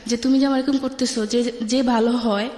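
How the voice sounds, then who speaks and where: Woman, high voice, Bangladeshi accent, colloquial personal storytelling, quotes conversations, long reverb. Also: fairly even intonation, a little fast, dark tone. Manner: recounts conversations by quoting both sides. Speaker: guest telling her life story.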